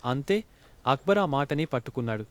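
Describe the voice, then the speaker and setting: A man's voice: mid-range voice, expressive, fairly quick. A man narrating a scripted folk tale as a storyteller.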